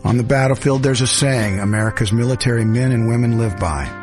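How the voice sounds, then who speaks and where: A man's voice: mid-range voice, fairly quick, darkish tone, soft background music. A man narrating a charity radio ad in an earnest, solemn, scripted read.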